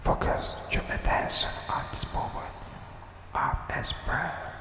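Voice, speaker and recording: A man whispering a guided breathing meditation in a slow, soothing way, leaving long pauses. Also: sharp tone, slight background noise. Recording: echoey room with long reverb.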